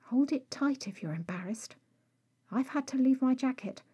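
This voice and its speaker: A woman voicing an exasperated, protective male vampire in an audio story, scolding in a clipped, often hushed way. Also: high voice, lively intonation, moderate pace, husky, voice pushed up, full character voice. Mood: stern.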